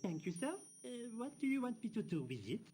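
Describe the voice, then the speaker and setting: A man's voice: high-pitched, big pitch swings, fast talker, mellow, faint music behind. An actor voicing an eager, obliging young male intern in a video game. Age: young adult.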